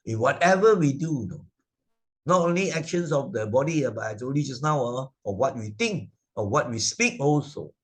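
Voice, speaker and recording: A man with a Malaysian English accent, a Buddhist Sunday school teacher explaining moral stories to children over a video call, full of "uh" and tag questions like "right?" and "isn't it?". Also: medium pitch.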